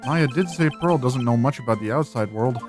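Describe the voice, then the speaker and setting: A man's voice: medium pitch, brisk, music in the background, pitched below the performer's own voice, close to an everyday voice. A man voicing a wry, easily exasperated adult male lawyer in video game dialogue. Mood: wry musing. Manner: wry, incredulous.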